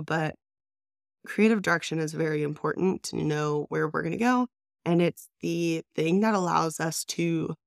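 A woman's voice: sits low, gravelly, no echo, clean studio sound. A woman hosting her own business podcast, talking in an upbeat, chatty way. She says she is congested, and her voice sounds stuffy and nasal. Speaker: podcast host and brand designer. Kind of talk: solo business podcast talk.

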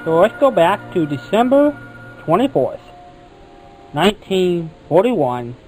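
A man's voice: high voice, sing-song, takes it slowly, a little mellow, soft background music, rough recording. A man speaking as a radio host, introducing old programs.

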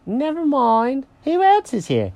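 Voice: sits high, huge pitch swings, measured pace, a little mellow, no room sound, pitched above the performer's own voice, exaggerated voice. A storyteller voicing a fussy, sensitive male cat with a prim, fretful and polite way of talking.

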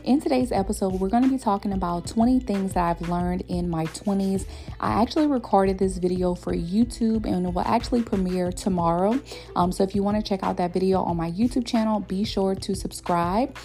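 A woman's voice: mid-range voice, a little fast, dark tone, soft background music. A woman, hosting her own podcast in a casual, chatty way and speaking straight to her listeners. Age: 20s. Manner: chatty, addresses listeners as y'all, many ums. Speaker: podcast host. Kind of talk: casual solo podcast chat.

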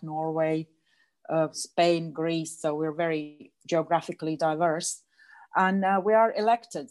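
Latvian woman, 30s, deep voice, halting interview guest. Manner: hesitant, many fillers and restarts, laughs often. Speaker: guest, association president. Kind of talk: casual interview.

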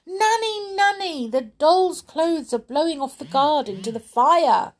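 A woman voicing an excited, alarmed little girl in a children's story, calling out urgently. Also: high voice, unhurried, pitched above the performer's own voice.

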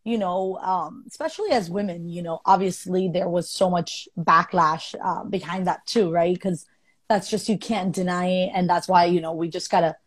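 A woman's voice: fairly low pitch, normal speed, dry recording. A young woman from Colombia, speaking earnestly and thoughtfully in casual conversation, with many fillers. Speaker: guest.

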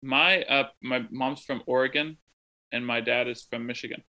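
A man's voice: medium pitch, steady tone, normal speed, sharp tone. A young man from the American Midwest, a translator being interviewed from home, talking in a thoughtful, rambling way full of ums and restarts. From Midwest of the United States. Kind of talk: casual conversational interview. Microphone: remote call from home.

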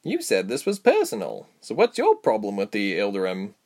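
A man's voice: on the low side, wildly swooping pitch, normal speed, darkish tone, exaggerated voice. A man voicing an outspoken, challenging young female traveler in a storybook. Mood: wry, challenging. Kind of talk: audiobook character voice.

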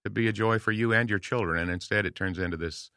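A man's voice: fairly low pitch, fast talker, sharp tone, dry recording, clean studio sound. An American man in his sixties talking conversationally as an interview guest, explaining things in a folksy way. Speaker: podcast guest, veteran homeschool dad and speaker.

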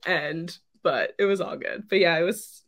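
A hoarse young woman co-hosting a podcast, chatting excitedly and rambling with lots of "like". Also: lowish voice, moderate pace. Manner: excited, rambling with many likes. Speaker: podcast co-host. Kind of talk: casual chat.

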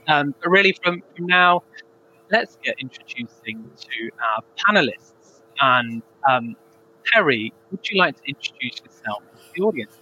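A man's voice: on the high side, lively intonation, moderate pace, pushed and bright, lo-fi sound, slight background noise. A man hosting a live panel show, talking in a friendly, chatty way with frequent ums. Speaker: host.

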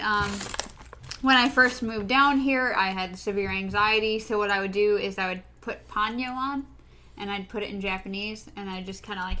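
A woman's voice: medium pitch, bright, forceful tone. A woman chatting casually about anime, rambling a little with fillers.

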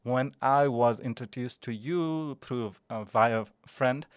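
A man hosting a podcast interview, introducing his guest in a hesitant way with many pauses. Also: mid-range voice, smooth, no room sound, studio quality. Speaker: podcast host.

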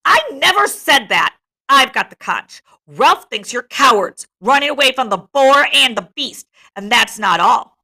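A defiant schoolboy rival from a storybook, voiced by a woman reading aloud, speaks in bright, forceful declarations. He is resentful and accusing, pushing hard against whoever is in charge, with his pitch lifted above easy talk. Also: expressive, unhurried. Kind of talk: storybook read-aloud dialogue.

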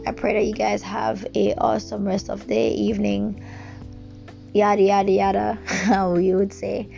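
A woman's voice: medium pitch, monotone, normal speed, gravelly. A woman with a Jamaican accent giving an earnest, rambling devotional talk, full of fillers and restarts. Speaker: devotional speaker. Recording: dull lo-fi recording with music behind.

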